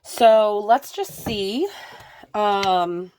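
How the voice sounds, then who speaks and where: A young woman, slightly hoarse from a lingering cold, gives a casual tarot reading straight to the viewer, wandering through her thoughts with lots of fillers. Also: mid-range voice, extremely slow, a little mellow, slight background noise, rough recording. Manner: meandering, many fillers, addresses viewer directly. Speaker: tarot reader.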